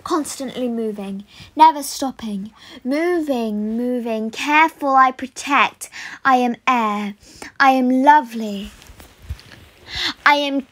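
A woman voicing air as a living element, a proud, gentle, free spirit, in a poetic dramatic monologue of short, deliberate declarations. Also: high-pitched, full character voice. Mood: free, confident.

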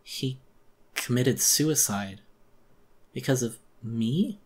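A man's voice: medium pitch, slow pace, breathy edge. A man voicing a deranged female animal monster, vicious, obsessive and anguished, in a menacing, dramatic horror audiobook performance. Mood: anguished, dazed.

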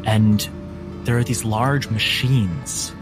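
A man as game master, narrating scenes in a measured, descriptive way with dramatic pauses. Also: on the low side, rough recording. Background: music.

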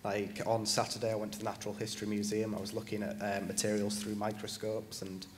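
Teenage student in a reverberant hall. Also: male voice, fairly low pitch, monotone, fast, slight background noise. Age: teens. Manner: casual, many basicallys and likes. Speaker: student panelist. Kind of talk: panel conversation answers. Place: large conference stage. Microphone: handheld mic.

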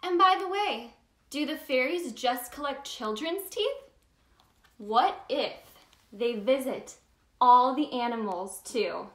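Woman playing a high, bubbly Tooth Fairy. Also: animated, slow, reverberant. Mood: playful, wondering. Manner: exaggerated, sing-song, talks directly to the child listener. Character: cheerful, bubbly Tooth Fairy telling stories to children. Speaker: children's story time host. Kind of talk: animated read-aloud for young children.

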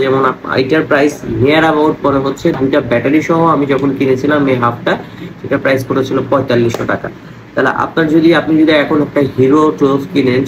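A young Bangladeshi man with a cold giving a casual explainer to camera, dropping English words and phrases into his speech. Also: mid-range voice. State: has a cold. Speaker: motovlogger presenting gear costs. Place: small room indoors at a table. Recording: clearly noisy room ambience.

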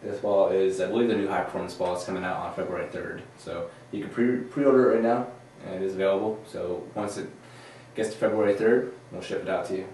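A man's voice: deep voice, fast talker, darkish tone, slight background noise. A man in his thirties co-hosting a bowling gear show, steadily running through new product releases and agreeing with his co-hosts, recorded from a far camera. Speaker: co-host. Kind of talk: casual product rundown. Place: studio room with a bowling-lane backdrop. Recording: echoey room from a distant mic.

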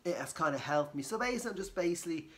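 A man, croaky from a cold, chatting casually to camera from his living room sofa and rambling with many fillers and restarts. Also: fairly high pitch, expressive, rapid-fire. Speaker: vlogger.

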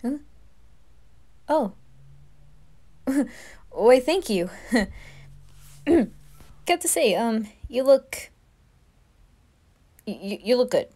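A woman's voice: on the high side, expressive, drawn-out words, long pauses, dark tone, full character voice. A woman voicing nervous, skeptical young anime lads, good-hearted and casual, with a hesitant, exasperated manner. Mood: nervous, shy. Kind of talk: anime fan roleplay ASMR.

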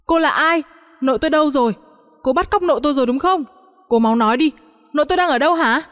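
Woman acting a hot-headed young grandson, high, urgent. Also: fast talker, a bit bright. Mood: alarmed accusing. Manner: accusing, urgent. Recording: long hall-like reverb on the voice.

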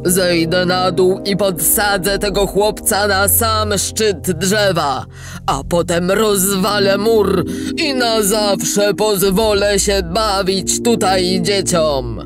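A woman voicing a male giant in a storybook audio drama, with a drawn-out, emphatic delivery. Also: medium pitch, over music, voice pushed up, natural voice. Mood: resolved. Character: gruff, selfish male giant who later softens.

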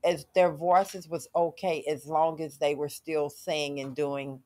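Deep-voiced woman hosting a talk show. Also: fairly even intonation, unhurried, warm tone. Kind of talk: casual panel discussion.

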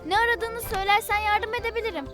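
Cute little cartoon critter, really tiny high voice, earnest and sweet, music underneath. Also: quick pace. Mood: helpful. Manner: earnest, expressive. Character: sweet young cartoon animal friend, Tinky, helpful, mildly hurt. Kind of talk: children's cartoon voice acting. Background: cartoon background music.

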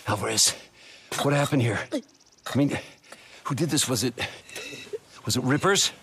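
An actor playing a gruff, loyal, stubborn biker survivor in a video game, with a rough, casual manner. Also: male voice, mid-range voice, lively intonation, measured pace, background noise. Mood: tense, urgent. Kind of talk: cinematic video game acting.

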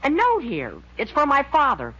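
An actor playing an eager, bossy teenage boy in 1940s radio comedy, excitable, on a scratchy old recording. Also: extremely high pitch, moderate pace, highs cut off, exaggerated voice. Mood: bossy, impatient.

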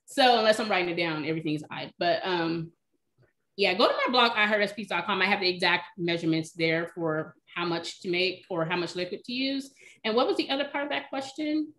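A woman in her thirties answering cooking questions in a relaxed, chatty way on a video call from her home kitchen. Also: fairly low pitch, fairly quick. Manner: relaxed, chatty, explains by eye. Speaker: cooking demonstrator, recipe blogger. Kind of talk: casual live Q&A. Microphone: video call, webcam.

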